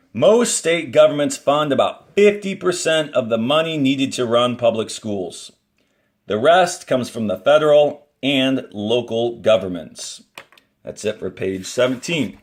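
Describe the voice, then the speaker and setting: A man teaching young students, reading a textbook aloud in a deliberate, instructional way and adding brief explanatory asides as he goes. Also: mid-range voice. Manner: deliberate, instructional, signposts each page and paragraph.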